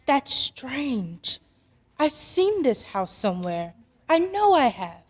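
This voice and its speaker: A high, expressive voice from an actress plays a sensible mother in an old-time radio drama. She sounds puzzled and takes her lines slowly, with big swings in pitch. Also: full character voice. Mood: wondering disbelief.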